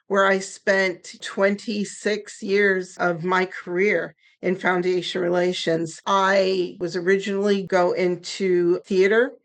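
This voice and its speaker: A woman in her sixties, a guest on a podcast interview, telling her story in a candid, lively way; German was her first language. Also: medium pitch. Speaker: guest, nonprofit founder.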